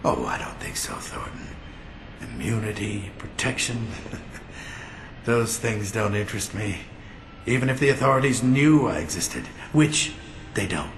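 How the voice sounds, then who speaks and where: An actor playing a menacing, contemptuous mercenary villain in a video game, talking slowly with a sneer. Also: male voice, fairly low pitch, big pitch swings, background noise. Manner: slow, sneering. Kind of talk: dramatic video game dialogue. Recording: echoey space, music behind.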